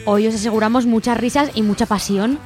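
A woman's voice: fairly high pitch, fairly quick. A woman with a Spain Spanish accent co-hosting a community radio show in a casual presenting style. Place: radio studio. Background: faint music.

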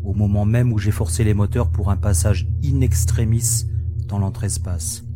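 An actor playing a calm, matter-of-fact starship pilot who explains things in a measured, wry way in a science-fiction audio drama. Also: male voice, fairly low pitch, close to an everyday voice. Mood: matter-of-fact, cautious. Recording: music behind the voice.

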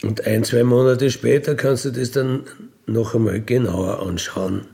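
Slow, gravelly Austrian man. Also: medium pitch, steady tone, darkish tone. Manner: matter-of-fact, addresses listener with tag questions. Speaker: ex-convict burglar telling his life. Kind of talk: candid conversational monologue.

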